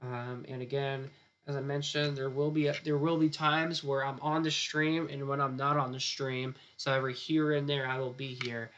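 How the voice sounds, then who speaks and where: A man's voice: mid-range voice, level tone, normal speed, fairly bright tone, highs cut off. A man, a chief forecaster talking loosely through a live weather stream, his throat sore and his voice starting to go. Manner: loose, rambling, repeats "again" often. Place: weather center studio.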